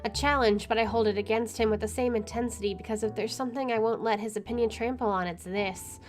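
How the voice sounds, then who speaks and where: A woman's voice: a little high, fairly even intonation, quick pace. A woman hosting a gaming video, reading story text aloud and adding casual commentary.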